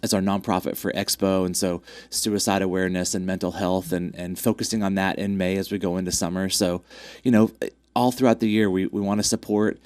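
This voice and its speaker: A man's voice: sits low, level tone, fairly quick, darkish tone, smooth, dry recording. A man with a congested voice, chatting upbeat about upcoming races with lots of fillers. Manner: upbeat, promotional, many fillers. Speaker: track club representative. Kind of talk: casual interview chat.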